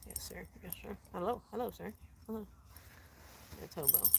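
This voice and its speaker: A woman from Colorado chatting casually on a live stream outdoors in the desert, rambling, laughing often and dropping into soft asides. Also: mid-range voice, normal speed, gravelly, slight background noise. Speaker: van-dwelling traveler streaming live.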